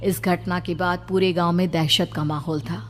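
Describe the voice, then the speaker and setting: Female narrator for horror cartoon stories, a little low in pitch, dramatic storytelling over soft music. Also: fairly even intonation, normal speed, in a large hall.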